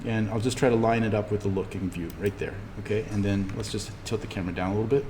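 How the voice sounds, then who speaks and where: A man giving a casual, easygoing software tutorial as an instructor, thinking aloud as he explains each step. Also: lowish voice, fairly even intonation, fast. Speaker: instructor teaching a 3D animation class. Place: indoors, echoing classroom. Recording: reverberant room with background noise.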